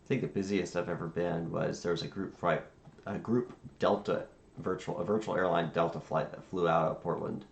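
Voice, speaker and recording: Man, very gravelly fry, casual streamer chat, halting and rambling, roomy recording. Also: low-pitched, steady tone. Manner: halting, rambling, thinking aloud. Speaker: streamer playing virtual air traffic controller. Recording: roomy sound with faint background noise.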